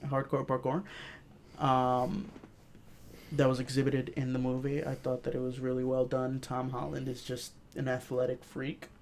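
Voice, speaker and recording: A man talks casually as a podcast co-host reviewing something. He pieces his thoughts together haltingly, with a faint layer of noise behind him. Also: medium pitch, level tone.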